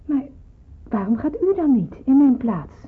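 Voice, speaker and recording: An actress playing an earnest, resigned young nurse in a radio drama, speaking hesitantly. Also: female voice, sits high, big pitch swings, normal speed, breathy edge, exaggerated voice. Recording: muffled, narrow-band old radio play, hissy.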